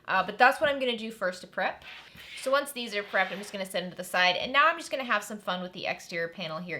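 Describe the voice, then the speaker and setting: Female host, friendly craft-tutorial read: bright tone, mid pitch, chatty step-by-step explaining. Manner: chatty and upbeat, narrating while working.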